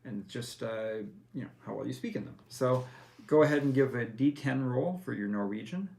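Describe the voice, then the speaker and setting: A man's voice: medium pitch, lively intonation, moderate pace, creaky, a little mellow, slight background noise. A man walking a player through tabletop character creation, patient and full of fillers and self-corrections. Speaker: game master. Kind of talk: explaining game rules step by step.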